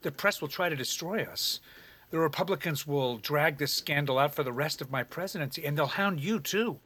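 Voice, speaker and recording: An actor playing a remorseful, devoted president in an audio drama, speaking in an earnest, confiding and intense way with a husky voice. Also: male voice, a little high, normal speed, bright, forceful tone. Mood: worried.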